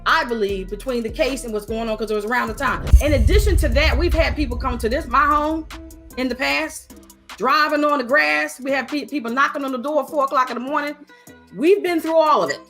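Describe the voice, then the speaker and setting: High-pitched woman giving an account. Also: a little fast, fairly bright tone, soft background music. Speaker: interviewee, legal guardian. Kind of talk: spoken personal account, unscripted.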